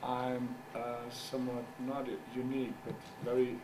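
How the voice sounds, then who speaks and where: A middle-aged man whose first language is German gives a conference talk as a journal editor. He speaks English haltingly, searches for words, and makes wry asides. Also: medium pitch, monotone. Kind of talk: conference presentation. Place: conference room. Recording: distant mic with background noise.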